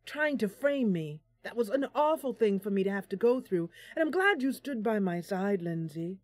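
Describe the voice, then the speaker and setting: A woman playing a friendly, romantic bard heroine in a fantasy game, speaking in a dreamy, theatrical, drawn-out way. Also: mid-range voice, lively intonation, dry recording, close to an everyday voice. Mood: earnest.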